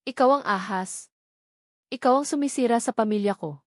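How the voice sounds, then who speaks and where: A woman's voice: fairly high pitch, moderate pace, no echo, clean studio sound, natural voice. Woman acting a scornful, jealous Filipina wife. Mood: furious. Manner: scornful, confrontational. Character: bitter, jealous wife, angry and accusing.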